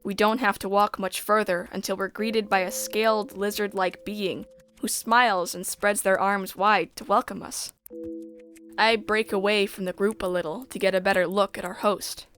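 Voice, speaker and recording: A woman narrating a sci-fi visual novel in the first person, measured and descriptive. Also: medium pitch, fairly even intonation, fairly bright tone, soft background music.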